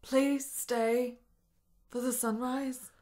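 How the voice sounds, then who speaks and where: Actress as a high-voiced, melancholy, lovesick frail woman. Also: little pitch movement, drawn-out words, a little mellow. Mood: pleading. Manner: earnest, melodramatic, formal literary phrasing. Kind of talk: radio drama acting.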